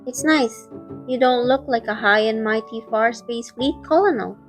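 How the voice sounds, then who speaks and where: A woman's voice: fairly high pitch, normal speed, soft background music, natural voice. A woman playing a devoted, playful young heroine in a romantic audio drama.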